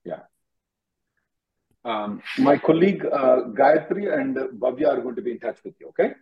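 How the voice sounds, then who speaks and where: A man's voice: medium pitch, animated, normal speed, gravelly, highs cut off. A man hosting an advisory call-in as an attorney, directive and given to repeating himself. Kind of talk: advisory call-in question and answer.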